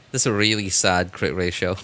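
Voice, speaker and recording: Bright, crisp low-voiced male game streamer. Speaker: video game livestreamer. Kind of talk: casual gaming stream commentary, chatting with viewers.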